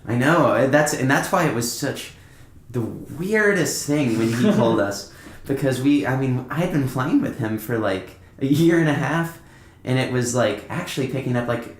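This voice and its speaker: A man's voice: medium pitch, animated, moderate pace. A young man casually telling anecdotes as a podcast guest, in an informal, rambling way. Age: young adult. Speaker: podcast guest, band musician. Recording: echoey, roomy podcast recording.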